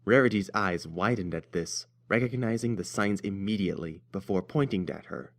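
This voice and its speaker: A man's voice: deep voice, moderate pace. A man reading scripted story narration as the narrator.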